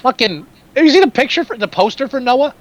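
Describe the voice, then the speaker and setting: A man's voice: extremely high pitch, lively intonation, fast, a little hiss or hum. A man co-hosting a casual movie podcast, opinionated and profane, talking through films with energy. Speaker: podcast co-host.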